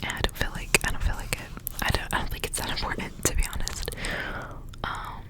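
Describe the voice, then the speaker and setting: Whispering woman reading ASMR. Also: fairly quick. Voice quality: soft unvoiced whisper. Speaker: ASMR reader and channel host. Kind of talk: whispered ASMR storybook reading with casual asides.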